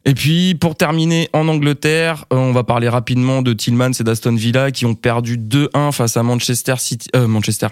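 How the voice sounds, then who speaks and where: A young man from Brittany, co-hosting a casual football podcast and talking quickly with many fillers and restarts. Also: mid-range voice, steady tone, clear, resonant tone, dry recording, clean studio sound. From Brittany, France. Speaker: podcast co-host.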